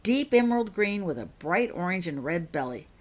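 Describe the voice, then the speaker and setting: A woman's voice: on the low side, big pitch swings, moderate pace. A woman voicing a blunt, emphatic schoolboy given to complaining, in a storybook reading. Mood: excited.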